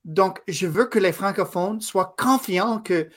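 A man in his thirties, a native English speaker from Quebec, giving a guest interview in a thoughtful, halting way. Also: high voice. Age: 30s. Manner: thoughtful, halting, with frequent pauses and restarts. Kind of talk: casual interview conversation.

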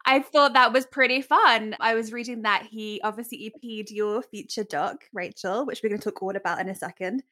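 A woman's voice: fairly high pitch, brisk, hardly any pauses, sharp tone. A woman hosting a podcast interview, upbeat and gushing, laughing often as she introduces her guests. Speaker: podcast host.

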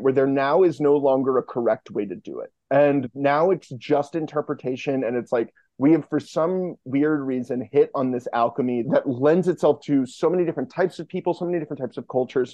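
A man's voice: mid-range voice, brisk, few pauses, warm tone, no echo, lo-fi sound. A man speaking as a podcast guest in casual, rambling, enthusiastic interview chat. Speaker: podcast guest, fiction podcast co-creator.

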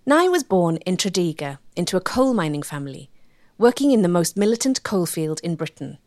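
A woman reading scripted documentary narration in a measured, articulate way. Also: on the low side, sing-song, a little mellow, no echo, clean studio sound.

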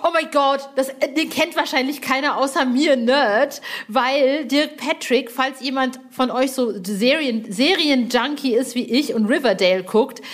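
A woman's voice: high-pitched, unhurried, few pauses. A woman interviewing in a casual, slangy way with enthusiastic interjections. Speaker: podcast interviewer. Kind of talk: casual chat.

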